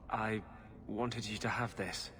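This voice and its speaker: Troubled, earnest rebel mage. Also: male voice, lowish voice, fairly even intonation, slow pace, a bit bright, slight background noise. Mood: sincere. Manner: earnest, resigned, heavy-hearted. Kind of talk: dramatic game dialogue.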